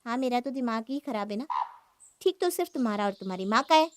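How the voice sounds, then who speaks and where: A woman plays a sulky, scheming young wife from a cartoon moral story. Her voice sits high and she argues and grumbles like someone who feels hard done by. There is some noise in the recording. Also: expressive, fast talker, lightly acted. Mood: sarcastic, aggrieved. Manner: complaining, argumentative.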